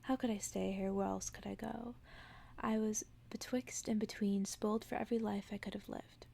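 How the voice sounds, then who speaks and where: A woman reads a story for ASMR listeners in a very gravelly, fry-heavy voice at a middling pitch. She speaks softly and often slips into whispering, as a bedtime narration. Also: steady tone, normal speed. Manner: soft-spoken, often dropping into whisper. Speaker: ASMR host reading a story aloud.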